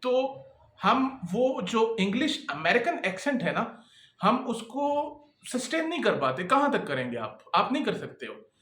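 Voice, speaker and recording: A man's voice: very high-pitched, sing-song, measured pace, fairly bright tone, reverberant. A man giving a casual lesson on freelancing, talking conversationally and often checking in with his listeners. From Pakistan. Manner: conversational, keeps checking in with "okay?". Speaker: freelancing instructor and employer.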